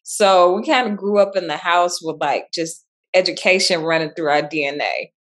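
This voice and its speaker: A woman's voice: lowish voice, normal speed, fairly bright tone. A woman from Chicago, a school principal in her thirties or forties, chatting cheerfully in an interview and laughing easily. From Chicago, South Side. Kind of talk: casual interview chat.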